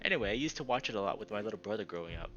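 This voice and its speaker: A man's voice: fairly low pitch, animated, very fast, fairly bright tone, lo-fi sound. A man voicing a nerdy, earnest male salamander writer in a visual novel. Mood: earnest, enthusiastic. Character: nerdy male salamander sci-fi writer, defensive but enthusiastic. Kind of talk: visual novel character dialogue.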